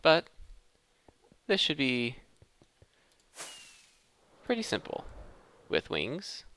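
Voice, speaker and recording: A man's voice: fairly high pitch, huge pitch swings, extremely slow, noisy background. A man giving casual, conversational gameplay commentary as a gaming host, thinking aloud as he plays.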